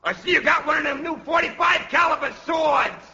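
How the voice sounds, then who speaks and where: An actor playing a boisterous, cocky fire-breathing dragon, loud and hammy, in 1950s radio comedy style. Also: male voice, very high voice, a little fast, full character voice. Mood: boisterous, mocking. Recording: dull noisy old 1950s radio recording.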